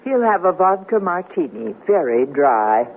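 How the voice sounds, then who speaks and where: Breezy newlywed wife, tinny radio recording. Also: female voice, on the low side, big pitch swings, unhurried. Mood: breezy, affectionate. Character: Maggie, newly married artistic woman, breezy and affectionate. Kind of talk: 1970s radio drama acting. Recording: muffled, noisy old radio broadcast.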